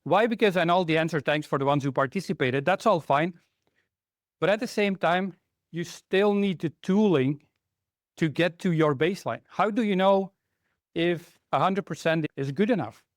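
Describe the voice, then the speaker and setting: A man's voice: a little high, normal speed, dry recording, studio quality. Middle-aged Belgian-born man presenting to a room. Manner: conversational, asks the audience questions. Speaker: conference speaker, technical trainer. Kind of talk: interactive conference talk. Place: conference room, in front of a live audience.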